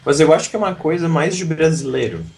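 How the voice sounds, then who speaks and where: A man's voice: on the high side, expressive, quick pace, creaky, a little mellow. A man from southern Brazil, an English teacher, talking reflectively in a casual interview with frequent restarts.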